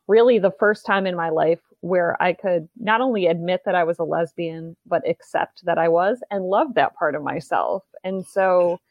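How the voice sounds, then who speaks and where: Woman in her 40s chatting as a podcast guest, lowish voice, kinda dull dry audio. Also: dry recording. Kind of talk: casual interview chat.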